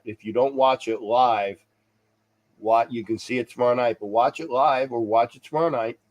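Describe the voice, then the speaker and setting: A man hosting a sports talk livestream, stuffy and congested, rambling casually and repeating himself as he signs off to viewers. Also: medium pitch. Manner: chuckles to himself. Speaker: sports talk show host.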